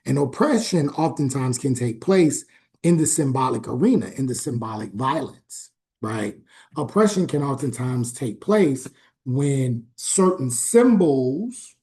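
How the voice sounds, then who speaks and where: A man lectures to a class on video, his voice warm and mellow, at an ordinary middle pitch. He keeps it conversational, pausing with "um" and tagging points with "right?" as if the students were in the room. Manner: conversational, frequent "right?" check-ins and "um" pauses. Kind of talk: recorded online class lecture.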